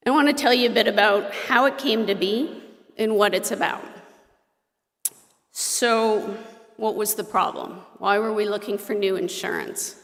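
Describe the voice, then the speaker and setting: A woman from Ontario, a director presenting to a meeting in a lively, emphatic way with touches of humor. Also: medium pitch. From Ontario, Canada. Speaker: association director presenting an insurance program. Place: meeting hall at an airport. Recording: roomy hall reverb.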